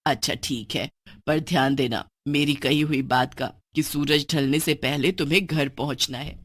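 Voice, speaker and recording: A woman voicing a loving witch mother, speaking gently but firmly in a children's cartoon story. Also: low voice, fairly even intonation, normal speed, no room sound, clean studio sound, pitched below the performer's own voice. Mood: caring, cautioning. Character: loving, protective witch mother with magic powers.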